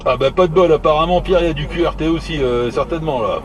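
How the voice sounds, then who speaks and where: Guy on cb in his vehicle, lots of noise, bit high voice, stumbles and repeats himself, jokey. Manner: hesitant, halting, repeating words; jocular, teasing. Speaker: amateur CB radio operator. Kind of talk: casual radio chat.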